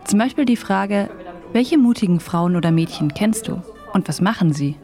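A woman's voice: mid-range voice, lively intonation, warm tone, faint music behind. A woman radio host reading a report and interviewing a guest in the studio. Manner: measured, scripted delivery.

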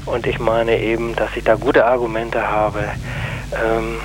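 Man explaining deliberately over clear background noise. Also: lowish voice, little pitch movement, low-quality recording. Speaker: interview guest, anti-nuclear plaintiff. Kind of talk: radio interview, explanatory.

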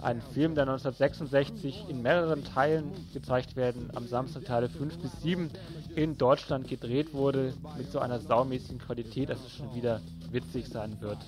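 Guy reading out local event listings on the radio, pretty colloquial, music playing under him. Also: mid-range voice. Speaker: radio presenter.

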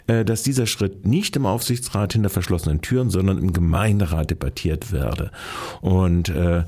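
A man giving a local news commentary in a measured, halting way, with pauses between phrases. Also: low-pitched, mellow. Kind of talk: spoken commentary introducing a recorded clip.

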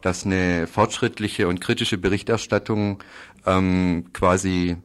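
A man explaining political news in a radio interview, halting often and repeating words as he goes. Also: low voice, steady tone. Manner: halting, hesitant delivery with frequent pauses and repeated words. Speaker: interviewee reporting on Uruguay.

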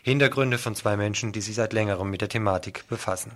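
A man's voice: fairly low pitch, fast. A man presenting scripted news on the radio, reading out headlines and reports as the host.

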